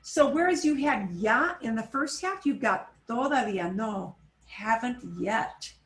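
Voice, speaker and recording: A woman teaching a Spanish class over a video call, switching between Spanish and English and repeating phrases slowly. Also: a little high, lively intonation, reverberant. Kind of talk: online class instruction.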